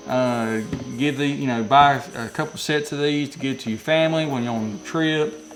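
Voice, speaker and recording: A man's voice: medium pitch, moderate pace, faint music behind. Folksy man reviewing gear. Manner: rambling and folksy, lots of restarts. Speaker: fishing channel host reviewing radios. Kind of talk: casual product review vlog. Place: his workshop building.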